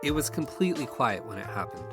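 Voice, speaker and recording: A man's voice: medium pitch, big pitch swings, quick pace. A man playing a shaken, blunt young housemate in a naturalistic audio drama. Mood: shaken, earnest. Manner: blunt, sweary. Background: music.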